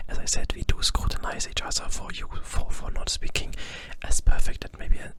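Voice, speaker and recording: A young man from Germany with a German accent, whispering an informal ASMR explanation to viewers and deliberately leaning on hard, overpronounced consonants. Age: young adult. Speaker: ASMR creator.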